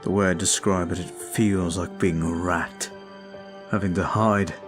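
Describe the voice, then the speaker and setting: Brooding male vampire played by a man, very deep voice, slow, soft and confiding, ASMR roleplay audio, faint background music. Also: fairly even intonation. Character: brooding male vampire, weary and guilt-ridden.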